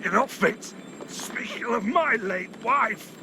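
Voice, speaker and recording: A man's voice: very high voice, lively intonation, takes it slowly, background noise, full character voice. An actor playing a defiant condemned Puritan man in a period video game drama, his speech strained, anguished and drawn out.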